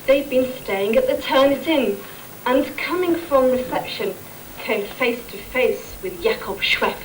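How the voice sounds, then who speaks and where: A woman's voice: high voice, animated, measured pace. A woman narrating an amateur stage pantomime as scripted rhyming verse. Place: live staff pantomime in a hall. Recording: distant, noisy amateur stage recording.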